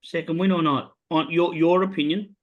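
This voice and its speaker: Animated Australian man selling his racing tip. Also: moderate pace, dull audio. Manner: animated salesman pitch, many "um" fillers. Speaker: racing tipster host. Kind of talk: casual podcast chat about horse racing.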